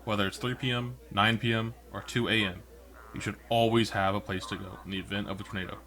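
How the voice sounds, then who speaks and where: A man's voice: on the low side, steady tone, fast talker, sharp tone. A man playing an earnest weather broadcaster, giving scripted emergency safety advice in an emphatic, instructive way. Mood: urgent, pleading.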